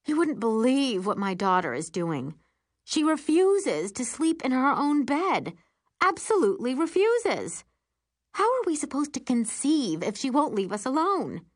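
A woman's voice: high-pitched, sing-song, unhurried, dry recording, studio quality, pitched above the performer's own voice, full character voice. A woman voicing a self-absorbed, oversharing stepmother in her thirties, dramatic and complaining, in an audiobook. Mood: exasperated, complaining.

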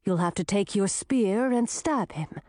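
A woman voicing a female forest spirit who speaks in a calm, measured and knowing way. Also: mid-range voice, dry recording, studio quality, lightly acted.

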